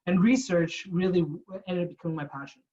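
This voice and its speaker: A man's voice: high-pitched, steady tone, quick pace, a little mellow, lo-fi sound. A young man from Canada giving a frank, informal talk with slides, restarting his sentences and repeating words often. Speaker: guest speaker.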